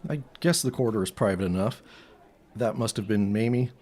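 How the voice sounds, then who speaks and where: An actor playing an earnest teenage boy sleuth in a period audio drama, secretive and boyish, with a husky voice. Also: fairly low pitch, fairly quick, a little mellow, lightly acted.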